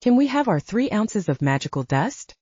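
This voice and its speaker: A woman's voice: medium pitch, sing-song, normal speed, darkish tone, slightly rough, no room sound, dull audio. A woman voicing a curious, earnest adult heroine in a paranormal romance audiobook, candid and questioning. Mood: anxious, insistent.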